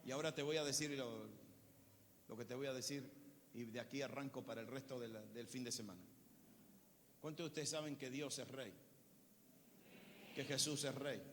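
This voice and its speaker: A pastor in his fifties preaching a sermon to a congregation, throwing questions at them and swinging from loud bursts to quiet, low lines. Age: 50s. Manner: preaching with call-and-response questions, swinging from shouts to low tones. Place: large church hall. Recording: church hall reverb, faint noise.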